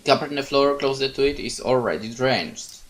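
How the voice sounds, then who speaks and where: A man's voice: mid-range voice, a little fast, fairly bright tone. A man reading a horror visual novel aloud as a let's play host, dramatic narration with casual asides.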